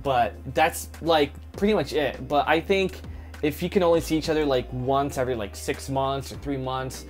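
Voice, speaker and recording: A man's voice: medium pitch, moderate pace, soft background music. A young man chatting casually with his wife in a couple's question-and-answer video, easygoing and rambling with lots of filler words. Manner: easygoing, chatty, full of "like" and "you know". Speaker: co-host of a couple's channel. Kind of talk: casual Q&A chat.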